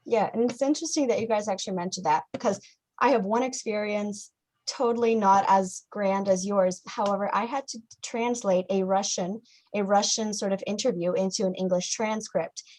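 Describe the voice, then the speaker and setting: A woman's voice: medium pitch, fairly even intonation, normal speed, a little mellow, low-quality recording. A young woman moderating a student Q&A over a video call, friendly and affirming with some hesitations. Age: young adult. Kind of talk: casual moderating.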